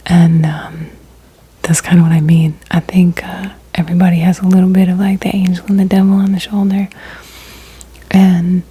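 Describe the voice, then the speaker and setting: A woman, talking in soft, breathy whispers with many ums in a soft-spoken ASMR video. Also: lowish voice, level tone, moderate pace, no room sound. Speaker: ASMR creator.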